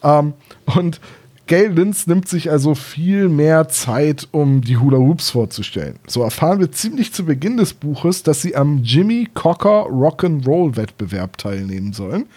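A man's voice: fairly high pitch, animated, unhurried, dark tone, breathy edge. A man in his thirties chatting casually as a podcast co-host, explaining things in careful detail. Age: 30s. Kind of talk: casual discussion of audio dramas and books.

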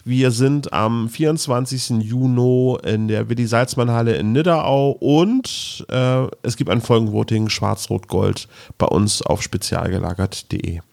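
A man's voice: mid-range voice, measured pace, gravelly, few pauses, darkish tone, no room sound. Guy hosting a podcast, normal mid voice, dry sound, casual talk. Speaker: podcast host.

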